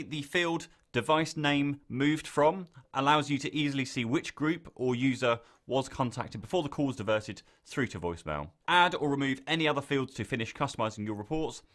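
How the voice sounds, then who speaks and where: A man reading a scripted software tutorial, giving clear step-by-step instructions as the narrator. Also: medium pitch.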